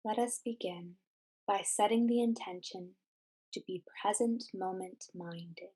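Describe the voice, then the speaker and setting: A woman narrating a scripted guided meditation in a measured, deliberate, instructional way. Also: medium pitch, mellow, slightly rough.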